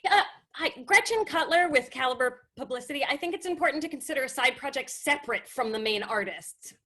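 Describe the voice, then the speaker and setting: An actress playing a frazzled, defensive, snarky young publicist in a comedy table read over a video call. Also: female voice, high-pitched, animated, fairly quick, sharp tone. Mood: flustered.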